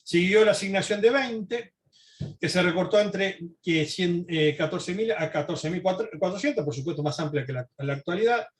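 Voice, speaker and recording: High-voiced man giving an explanatory lecture. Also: expressive, normal speed, slight background noise. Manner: explanatory, checks in with rhetorical questions. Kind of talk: informal history lecture, reading notes with asides.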